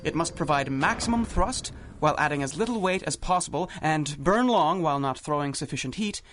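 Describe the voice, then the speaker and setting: An actor playing a confident, condescending young millionaire inventor, suave and grandiose, in a 1930s-style radio drama. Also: male voice, a little high, animated, brisk, a bit bright, slight background noise. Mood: showmanlike.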